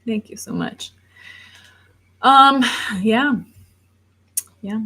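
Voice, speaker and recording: A woman's voice: fairly high pitch, fairly even intonation, very slow, bright, forceful tone, poor audio. A woman in her thirties, rambling casually on a livestream and reading viewer comments aloud before answering them. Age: 30s. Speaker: livestream host.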